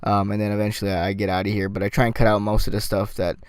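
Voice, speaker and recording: A man with a slightly raspy, sore-throated voice talks casually over a game, rambling and restarting his sentences. His voice sits on the low side. The recording is close and dry. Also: little pitch movement, quick pace, no echo. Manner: rambling, full of ums and restarts. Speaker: gameplay commentator. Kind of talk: casual gameplay commentary.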